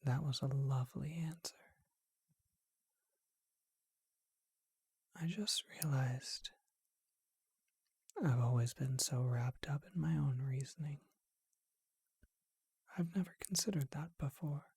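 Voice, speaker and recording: A performer voicing a charming, bookish ancient vampire in a whispered ASMR roleplay, speaking in a refined, unhurried, musing way with a breathy voice. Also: mid-range voice, a little mellow, no room sound, studio quality. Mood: gentle, intrigued.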